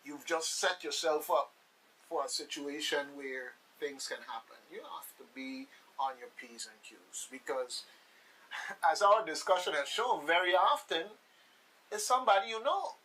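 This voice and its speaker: A man's voice: fairly high pitch, expressive, unhurried, pushed and bright, poor audio. A man hosting a radio talk show in a conversational, folksy way, speaking directly to the listeners. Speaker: radio host.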